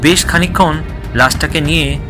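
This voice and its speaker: A man's voice: on the high side, normal speed, music in the background. A man narrating a scripted horror story in the first person, in a dramatic storytelling style.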